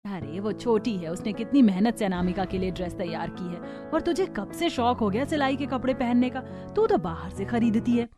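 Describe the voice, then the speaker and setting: A woman voicing a gentle, reasonable middle-aged village mother in a Hindi cartoon story, calm and soothing. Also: medium pitch, moderate pace, few pauses, over music, natural voice. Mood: reasoning.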